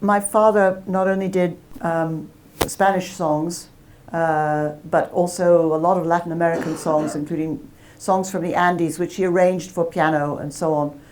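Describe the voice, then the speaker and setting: Low-voiced woman academic, chatty. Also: darkish tone. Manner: chatty, self-deprecating, many restarts. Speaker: academic guest speaker. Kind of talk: lively talk with audience Q&A.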